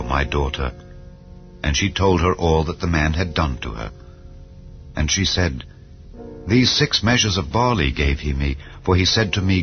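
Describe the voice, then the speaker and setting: A man with a very deep voice reads scripture in a slow, solemn, measured way. The sound is a little dull, with faint music underneath. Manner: solemn, measured reading of old-style scripture. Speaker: Bible reader. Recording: slightly dull with soft background music. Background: soft background music.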